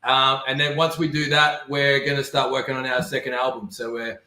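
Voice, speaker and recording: A man in a band talking about upcoming shows and an album in a relaxed, upbeat way. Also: mid-range voice, brisk. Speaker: band member. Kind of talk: casual interview. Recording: echoey room, off-mic.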